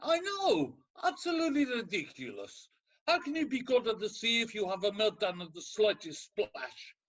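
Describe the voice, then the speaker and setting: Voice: mid-range voice, sing-song, moderate pace, fairly bright tone, rough recording. An actor playing a scornful, impatient counsellor in a comedic radio play, speaking with sarcastic exasperation. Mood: mocking, exasperated. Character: scornful, impatient, unsympathetic ancient Greek counsellor.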